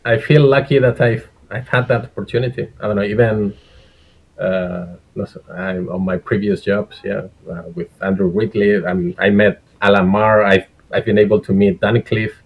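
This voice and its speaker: A Spanish-accented man, a guest engineer, chatting in English in a relaxed way, dropping dry jokes and laughing between his points. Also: lowish voice, normal speed, dull audio. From Spain. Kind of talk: casual interview chat.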